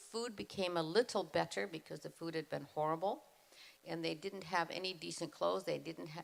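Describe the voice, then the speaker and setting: Woman, deep and heavily gravelly, earnest measured retelling. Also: fairly bright tone, rough recording. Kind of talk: retelling family history at a school event. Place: school event hall.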